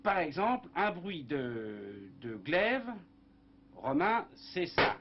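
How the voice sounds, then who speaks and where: A man, a film composer, in a casual interview, talking in a lively, rambling way and imitating sounds with his mouth, on a dull old broadcast recording with faint hiss. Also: on the high side, slow, creaky.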